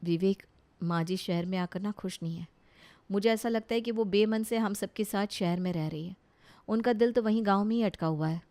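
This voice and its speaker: A woman's voice: medium pitch, moderate pace, a little mellow, close to an everyday voice. A woman playing a dutiful, put-upon young city daughter-in-law in a cartoon family story, polite, earnest and a little plaintive. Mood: aggrieved. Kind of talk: Hindi animated family story acting.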